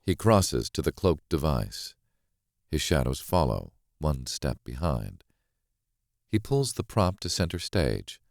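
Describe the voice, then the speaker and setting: A man narrating a thriller audiobook in the first person, in a measured, brooding and dramatic way. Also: very deep voice, big pitch swings, creaky, a little mellow, no room sound, studio quality.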